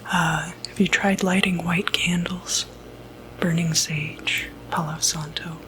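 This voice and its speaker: A woman whispering breathily in an ASMR medical roleplay, playing a reassuring doctor with a hesitant, gentle bedside manner. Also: lowish voice, fairly even intonation, takes it slowly, slight background noise, rough recording. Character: calm, reassuring doctor who treats demonic possession.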